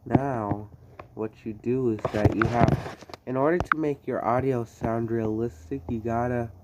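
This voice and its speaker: A man's voice: mid-range voice, steady tone, measured pace. A man giving a casual tutorial in a hesitant way, often restarting and repeating his phrases. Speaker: tutorial host. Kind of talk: casual screen-recording tutorial. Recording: loud background noise, clicking.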